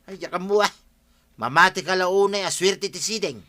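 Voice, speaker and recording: An actor plays a matter-of-fact skeptic in an audio drama. He questions drily in a bright, forceful voice that sits a little high. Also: normal speed. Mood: skeptical. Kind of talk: Filipino audio drama acting.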